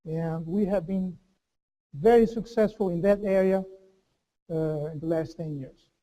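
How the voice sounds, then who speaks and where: A man giving a conference speech as a chamber of commerce director, halting, with many fillers and restarts. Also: high voice, warm tone.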